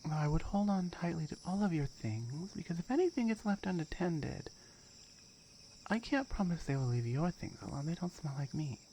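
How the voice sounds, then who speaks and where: Low, firm tiger girl, voiced by a woman. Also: sing-song, normal speed, warm tone, a little hiss or hum. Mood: wry, matter-of-fact. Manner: firm, wry, matter-of-fact. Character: firm, dominant tiger girl guarding her jungle territory. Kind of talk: ASMR roleplay monologue.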